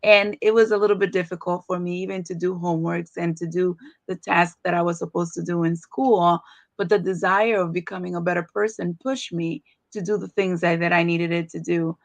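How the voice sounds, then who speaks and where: A woman's voice: lowish voice, fairly even intonation, a little fast, dry recording. A middle-aged woman from the Dominican Republic, a native Spanish speaker, earnestly telling her life story as a guest speaker, with many ums and restarts.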